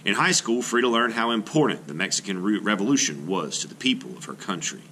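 A middle-aged man with a fairly low, bright voice reads aloud like an art teacher to young pupils. His intonation rises and falls in a very animated way as he works through each sentence in a measured, expressive manner. A light background noise sits under the recording. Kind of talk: read-aloud narration of a children's nonfiction book.

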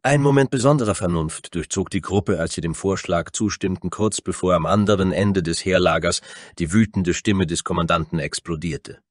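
Deep-voiced male fantasy narrator. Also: moderate pace, dry recording, studio quality. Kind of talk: scripted dramatic fantasy narration.

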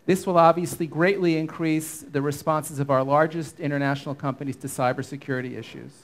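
A man's voice: fairly high pitch, fairly even intonation, a little fast. Formal man moderating a panel. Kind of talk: prepared conference remarks. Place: conference stage before an audience.

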